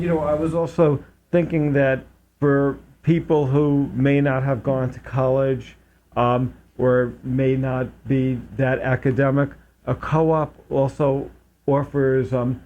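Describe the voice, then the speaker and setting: A man's voice: medium pitch, mellow, slight background noise. A man hosting a local public access talk show, talking casually with many fillers and restarts. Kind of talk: casual interview chat. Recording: dull lo-fi public access audio.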